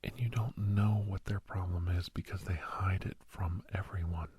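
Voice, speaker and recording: A man playing a calm, earnest doctor counseling a young werewolf in an ASMR role-play, speaking in a hushed, breathy, often whispered voice with a slow, reassuring manner. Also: low voice, dry recording.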